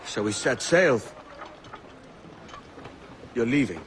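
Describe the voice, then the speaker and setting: An actor playing a brash but remorseful pirate captain in a video game, with rough seafaring talk. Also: male voice, mid-range voice, animated, takes it slowly, slight background noise. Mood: gruff, wry. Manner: rough, colloquial seafaring talk with mild swearing. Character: Edward Kenway, brash remorseful male pirate captain, adult. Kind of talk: dramatic video game acting. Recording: loud music behind the voice.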